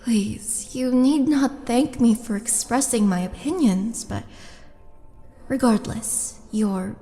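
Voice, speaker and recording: A woman playing a tall, lonely orc oracle in an intimate roleplay audio drama, speaking softly and coaxingly with breathy, whispered touches. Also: on the high side. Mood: tender, sympathetic. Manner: slow, coaxing, intimate, halting. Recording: long reverb, music behind.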